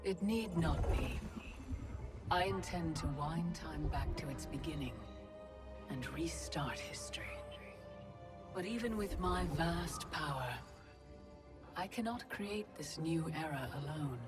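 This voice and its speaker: A woman playing an ancient goddess of time in a video game, speaking in a measured, formal, portentous and coldly commanding way. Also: sits low, animated. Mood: calm, persuasive. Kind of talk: theatrical video game cutscene acting. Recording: loud music under the voice.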